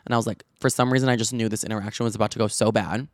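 A man's voice: fairly low pitch, fairly even intonation, rapid-fire, dry recording, clean studio sound. A man who stutters, hosting a podcast and telling stories in a chatty, gossipy way. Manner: chatty, gossipy storytelling with many likes and restarts. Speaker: podcast host. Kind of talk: casual chat.

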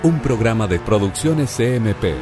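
A man announcing a radio programme in an emphatic, measured way. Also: fairly low pitch, expressive. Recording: voice under loud music.

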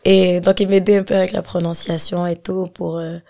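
A woman's voice: lowish voice, level tone, brisk, gravelly, warm tone. A young woman from Algeria, a singer being interviewed, talking casually and laughing often while mixing French with Arabic and English. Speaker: singer guest.

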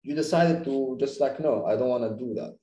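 A man hosting an interview podcast, speaking conversationally with a halting, hesitant delivery. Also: mid-range voice, dark tone. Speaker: podcast host. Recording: roomy, echoing sound.

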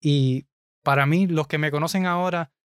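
A man's voice: fairly high pitch, fairly even intonation, moderate pace, smooth, no echo, studio quality. A young man from Puerto Rico with a Puerto Rican Spanish accent, speaking earnestly on a casual podcast. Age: young adult. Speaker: podcast co-host.

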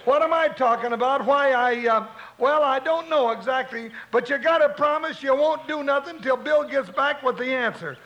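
An actor playing a blustering, easily flustered deputy sidekick in an old-time radio Western, with a folksy American Western drawl. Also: male voice, very high voice, moderate pace, few pauses, lo-fi sound. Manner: blustery, stammering when flustered.

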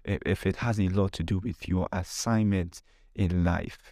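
A man's voice: deep voice, fairly even intonation, normal speed, mellow, no echo, studio quality. A man hosting a podcast in an informal advice monologue, speaking emphatically with repeated phrases and rhetorical questions. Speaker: podcast host.

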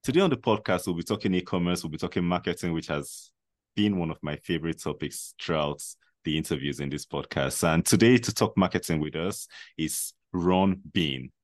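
A man's voice: sits low, a little fast, dry recording. A man hosting a podcast, introducing his guest and reacting with short comments and laughs. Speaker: podcast host. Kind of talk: conversational interview.

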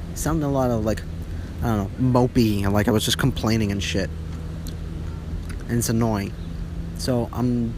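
A young man talking casually about his plans and his life, swearing often, his voice sometimes cracking. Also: medium pitch. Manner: laid-back, swears a lot, voice cracks. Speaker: vlogger. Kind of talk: casual rambling monologue. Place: outdoors in a park, then a car. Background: construction and traffic noise.

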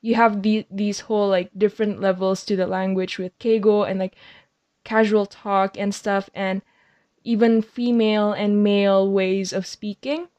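A woman's voice: medium pitch, level tone, a little slow, darkish tone. A young woman asking questions in a casual conversation, curious and chatty with lots of fillers. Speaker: interviewer.

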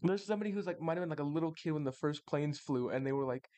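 A man's voice: fairly high pitch, rapid-fire, warm tone, no echo. A man co-hosting a casual podcast, explaining things and dropping wry quips as he banters. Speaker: podcast co-host.

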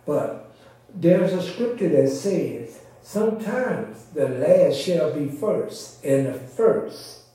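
A man's voice: on the high side, expressive, slow pace, warm tone. Echoey, distant male preacher teaching. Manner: teacherly, checks listeners follow with short questions. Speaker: preacher leading a church service. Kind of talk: informal expository sermon. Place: reverberant indoor church service. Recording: echoey room, distant mic.

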